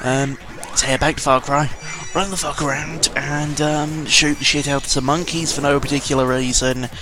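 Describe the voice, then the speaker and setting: A man's voice: on the high side, flat delivery, moderate pace. A British man giving casual, rambling game commentary, digressive and sweary, often correcting himself as he goes. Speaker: gaming vlogger. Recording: music under the voice. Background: music.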